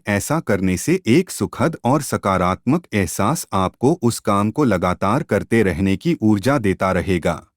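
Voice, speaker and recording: A wise grandfather in his seventies from a storybook, read by a male narrator, patiently instructing. The voice is fairly low and slow, in a dry, close space. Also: dry recording. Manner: instructive, unhurried.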